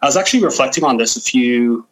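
A man with a medium-pitched voice answers as a podcast guest. He talks in a reflective, conversational way, often stopping to search for the right word. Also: lively intonation, quick pace. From Guyana, moved to Canada as a child.

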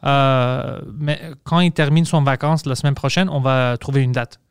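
Podcast host, man, smooth and resonant voice, dry close mic, rambling restarts. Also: mid-range voice, fairly even intonation, normal speed, dry recording. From Montreal, Quebec. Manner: loose and chatty, frequent restarts and English slips. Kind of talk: casual conversational chat.

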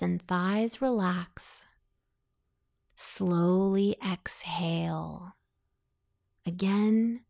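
A woman narrating a guided meditation in a soothing, deliberate, instructing manner. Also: medium pitch, creaky, a little mellow.

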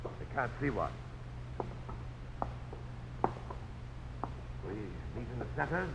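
An actor playing a haughty, hot-tempered aristocratic villain in 1950s radio drama, with clipped, taunting delivery. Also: male voice, mid-range voice, big pitch swings, brisk, creaky. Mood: tense. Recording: noisy old radio broadcast.

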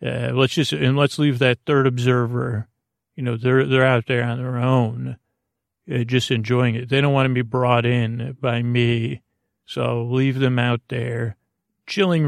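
A man hosting a sleep podcast with a creaky voice, rambling gently and haltingly through soothing, slightly silly tangents. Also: mid-range voice, no room sound, studio quality. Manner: meandering, halting, frequent tangents. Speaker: podcast host. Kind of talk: rambling bedtime monologue to lull listeners to sleep.

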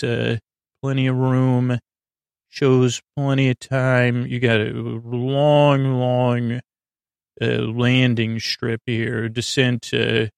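A man hosts a sleep podcast in a creaky, mid-pitched voice with heavy fry. He rambles soothingly, halting and drifting into tangents as he goes. The sound is dry, with almost no room around the voice. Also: no echo. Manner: meandering, halting, digressive, lulling. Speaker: podcast host.

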